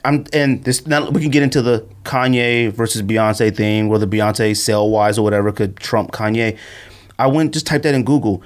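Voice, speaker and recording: A man co-hosting a podcast in casual, slangy, animated chat, telling stories and often amused. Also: lowish voice, normal speed. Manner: animated storytelling, frequent laughter, slangy. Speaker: podcast co-host. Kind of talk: casual chat about sneakers.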